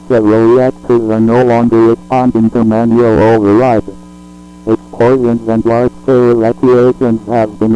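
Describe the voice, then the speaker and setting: Robotic tts guy reading an emergency broadcast, formal and flat, muffled lo-fi sound w music under it. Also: mid-range voice. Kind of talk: scripted emergency alert announcement. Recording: dull lo-fi audio with music.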